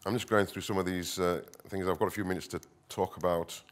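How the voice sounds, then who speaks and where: A man's voice: deep voice, fairly even intonation. Earnest man giving a talk. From northern England. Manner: earnest, emphatic repetition, deliberate short phrases. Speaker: conference speaker, counter-extremism expert. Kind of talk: conference presentation, semi-improvised public speaking.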